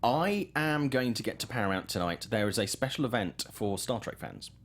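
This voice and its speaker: A man's voice: fairly low pitch, lively intonation, normal speed, fairly bright tone. A man hosting a film news show in a casual, chatty and enthusiastic way.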